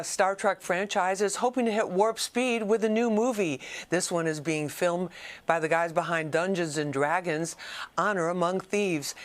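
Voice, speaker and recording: An American woman reading scripted news as a television anchor. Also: lowish voice.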